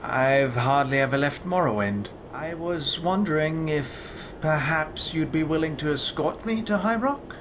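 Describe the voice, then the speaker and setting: A man voicing a polite, earnest lizard-folk lawman and alchemist in a fantasy game, speaking formally and in measured phrases. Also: fairly high pitch, animated. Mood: courteous, hopeful. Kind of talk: scripted fantasy game dialogue. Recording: clear ambient noise behind the voice. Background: game ambience.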